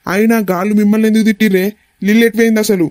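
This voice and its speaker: A man's voice: sits high, steady tone, very fast, lightly acted. A man playing a hot-headed, protective village son in a family drama, speaking bluntly with a Telangana Telugu accent. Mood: angry. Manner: blunt, agitated questioning.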